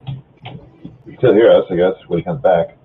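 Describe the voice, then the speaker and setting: A man's voice: low voice, moderate pace, slight background noise. A man chatting casually and reading a story aloud with friends over an online voice call. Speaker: amateur fan fiction reader. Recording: muffled, phone-like online voice call.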